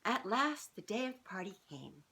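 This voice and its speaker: A woman's voice: medium pitch, moderate pace, husky. A woman in her fifties reading a children's storybook aloud, expressively and informally, with chatty asides to young listeners. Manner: expressive, informal, often breaking off to comment. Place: living room sofa, indoors. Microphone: camera a short distance away.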